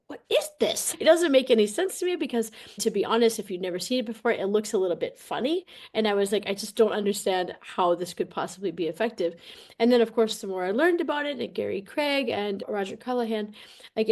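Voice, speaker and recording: Podcast guest: woman, mid-pitched voice, animated and colloquial, chatty coach style. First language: English. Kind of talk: casual interview talk, personal storytelling.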